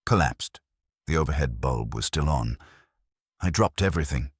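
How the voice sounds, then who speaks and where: No-nonsense woman office manager, fiftyish, read by a man: curt, practical, husky, animated. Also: a bass voice, moderate pace, no room sound, clean studio sound, full character voice. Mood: matter-of-fact. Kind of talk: audiobook character voice.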